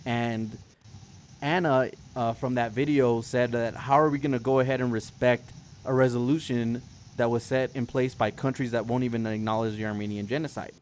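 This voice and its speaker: A man hosting a political talk show, discussing news casually in halting, hesitant phrasing. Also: mid-range voice, fairly even intonation, darkish tone, a little hiss or hum.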